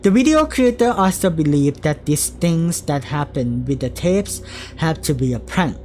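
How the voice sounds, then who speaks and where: A woman with a low voice delivers scripted analysis in the role of a video essayist. Faint music plays behind her narration. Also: animated, moderate pace, dark tone.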